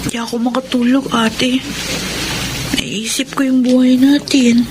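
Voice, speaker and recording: An actress playing a worried, wistful teenage younger sister, plaintive and questioning, in a Filipino radio drama. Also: female voice, high-pitched, flat delivery, measured pace, noisy background. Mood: troubled, wistful.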